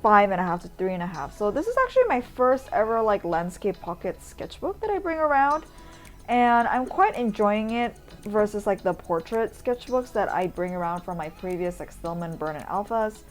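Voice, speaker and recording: A woman's voice: mid-range voice, big pitch swings, normal speed. A woman from Indonesia giving a casual, rambling voice-over tour in an informal, chatty way, as a vlogger does. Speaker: vlogger, urban sketcher. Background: background music.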